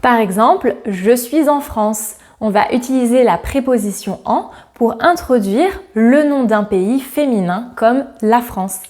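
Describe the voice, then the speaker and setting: Friendly female language teacher, fairly high voice, clear and direct to camera. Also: a little slow, few pauses, a little mellow. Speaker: French teacher. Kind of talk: scripted grammar lesson to camera.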